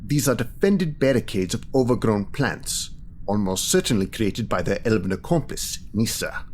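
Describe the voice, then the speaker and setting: Man acting a lowish-voiced, clinical strategist. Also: animated, a little mellow, slight background noise, natural voice. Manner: formal, measured, clinical. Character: coolly analytical male official, precise calculating strategist. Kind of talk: audio drama acting.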